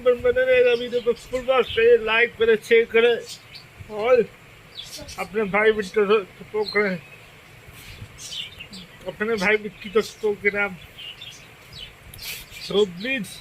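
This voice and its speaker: A high-pitched man hosts a casual devotional vlog and talks slowly. His throat is slightly sore. He stumbles through his words, restarting and repeating phrases as he goes. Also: a bit bright, a little hiss or hum, low-quality recording. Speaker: channel host.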